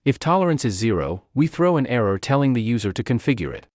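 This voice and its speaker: Man, scripted software tutorial, demo presenter, animated intonation, clipped step-by-step phrasing, dry close sound. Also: medium pitch, quick pace, no room sound.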